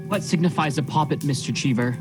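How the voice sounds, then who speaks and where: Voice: medium pitch, fast, music in the background, natural voice. An actor playing a grave, conscientious male Puritan minister, formal and probing, in a radio play.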